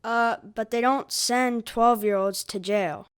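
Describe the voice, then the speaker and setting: A nervous twelve-year-old in an audio drama, played by a woman, with a high voice and slow delivery. The child sounds innocent, hesitant and defensive. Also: steady tone, smooth.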